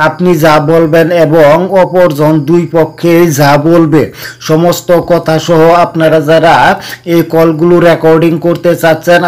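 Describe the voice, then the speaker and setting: A man's voice: on the high side, a little mellow. A man hosting a tech tutorial, explaining things casually and repeating key points. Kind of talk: casual phone tutorial narration.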